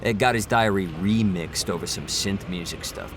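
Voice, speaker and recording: An actor playing a folksy, rambling cab driver and dad, chatting casually in a radio drama. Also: male voice, low voice, normal speed, close to an everyday voice. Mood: rueful, folksy. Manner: rambling, hesitant, colloquial. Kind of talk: naturalistic, casual dramatic chat. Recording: street and car noise behind.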